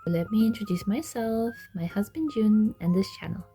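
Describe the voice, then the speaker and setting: A woman from Denmark hosting her own vlog, narrating a measured, clear personal story as a voice-over. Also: medium pitch, expressive, dark tone, soft background music. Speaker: vlogger, channel host.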